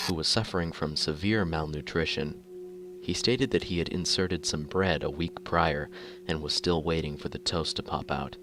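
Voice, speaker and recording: A man narrating scripted horror fiction in the first person, reading in a formal, measured way like an official document. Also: rumbling low, background noise.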